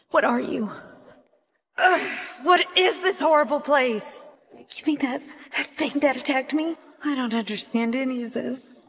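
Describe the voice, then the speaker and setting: A woman playing an anxious but plucky, kind-hearted young animator in a horror video game, expressive and often talking to herself. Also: high-pitched, big-room sound, slight background noise, exaggerated voice. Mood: bewildered, wary. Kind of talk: dramatic horror game voice acting.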